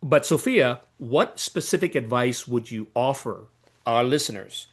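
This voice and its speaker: A man's voice: mid-range voice, expressive, measured pace, husky. A man moderating a webinar panel over a video call, informal and a bit teasing as he puts questions to his guests.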